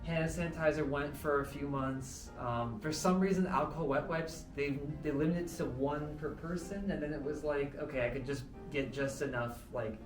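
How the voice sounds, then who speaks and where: A man's voice: fairly high pitch, steady tone, moderate pace, few pauses. A man in his thirties chatting casually, earnest and emphatic, poking fun at himself. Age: 30s. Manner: earnest, emphatic, self-mocking. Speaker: assistant language teacher. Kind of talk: casual group chat. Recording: echoey room from far off, music behind.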